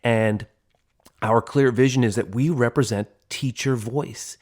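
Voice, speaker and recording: A man's voice: mid-range voice, fairly even intonation, measured pace. A man in his forties co-hosting a teachers' podcast, speaking in an earnest, discursive way and often rewording himself as he reflects. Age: 40s.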